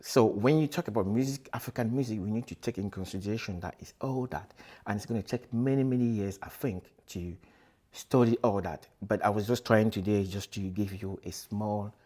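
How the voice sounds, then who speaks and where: Uomo originario del Camerun, voce piuttosto bassa, che parla con calma e molte esitazioni. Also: male voice. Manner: unhurried, hesitant, many fillers and restarts. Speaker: musician. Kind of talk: casual explanatory talk.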